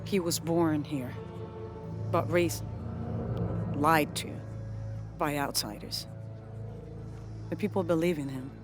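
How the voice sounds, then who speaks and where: An actress playing a widowed rebel queen, speaking in a measured, authoritative way. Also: low-pitched, over music. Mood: grave. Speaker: rebel leader.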